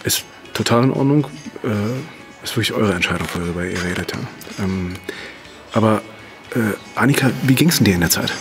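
An actor playing a careful, reassuring interviewer who speaks hesitantly and tactfully in an audio drama. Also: male voice, on the low side, brisk, faint music behind, close to an everyday voice.

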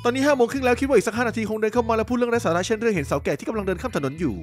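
A voice actor playing a calm, sly father in a fan-dubbed cartoon, answering in short, dry remarks. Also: medium pitch, very fast, faint music behind, natural voice. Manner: laconic, drily needling. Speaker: father at the poker table. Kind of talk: Thai fan dub of a cartoon.

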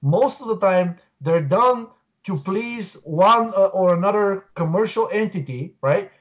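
A man's voice: high-pitched, lively intonation, unhurried. A man in his forties from Bulgaria, a guest on a video call, explaining earnestly with frequent restarts. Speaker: guest researcher. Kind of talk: interview over video call. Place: home room, indoors. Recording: dull lo-fi call audio.

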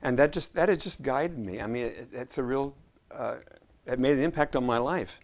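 A man in his sixties, a scriptwriter chatting as a guest in a relaxed interview, mixing jokes with earnest stories. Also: medium pitch, normal speed. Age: 60s. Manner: joking, earnest storyteller. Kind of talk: casual interview chat. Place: living room set, indoors.

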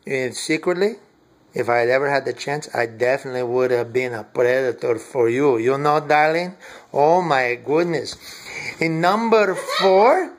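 A man's voice: mid-range voice, close to an everyday voice. A man acting as Cha-Cha, a gushing, flirtatious diva woman, coy and camp, hosting a comic countdown to camera. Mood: flirty, gushing. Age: middle-aged. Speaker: character host of a countdown. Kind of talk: comic character monologue to camera. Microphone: webcam or device at arm's length.

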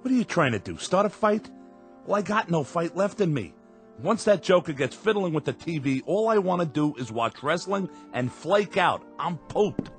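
A grumpy New cabbie. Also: male voice, fairly high pitch, expressive, moderate pace, faint music behind. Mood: weary. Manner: gruff, defensive, complaining. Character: tired, grumpy working-class cab driver husband.

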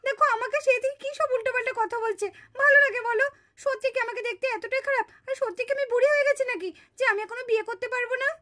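Casting: scolding village female for puppet cartoon drama; very high pitch, quick delivery. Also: bright, forceful tone. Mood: offended. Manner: emphatic, scolding, repeats words in protest. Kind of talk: dramatized Bengali puppet-show dialogue.

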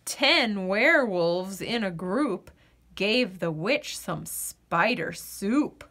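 A woman reading a children's picture book aloud in an expressive, sing-song storyteller's delivery. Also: mid-range voice, very slow.

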